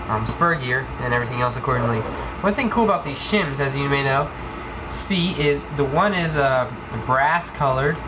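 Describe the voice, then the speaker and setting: A man with a cold giving a casual hands-on tutorial, rambling with many ums and restarts as he works. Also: mid-range voice. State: has a cold. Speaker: airsoft gearbox tech demonstrator. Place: indoor room, work on floor. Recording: noisy home video with clicks.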